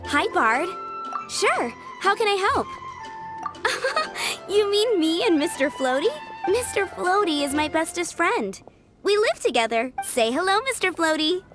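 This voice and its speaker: A woman's voice: very high voice, sing-song, unhurried, over music, full character voice. A woman voicing a dreamy, earnest, childlike little flower girl in a video game. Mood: cheerful.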